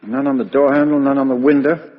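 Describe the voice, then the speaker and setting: A male actor plays a shrewd, no-nonsense English police inspector from a vintage radio play. He talks in a confiding, businesslike way at an average pitch. The sound is dull and reverberant. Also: level tone, quick pace, natural voice. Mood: brisk, matter-of-fact. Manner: businesslike, colloquial, matter-of-fact. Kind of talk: 1950s British radio drama acting.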